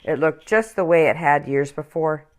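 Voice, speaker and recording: A woman reading a children's picture book aloud as a storyteller, expressively and with dramatic pauses. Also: sits low.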